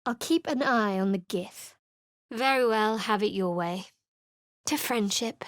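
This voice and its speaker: A woman's voice: medium pitch, unhurried, dry recording, lightly acted. A woman voicing a guarded, sardonic young cleric adventurer in a video game, cool and wry, recorded on a close studio mic.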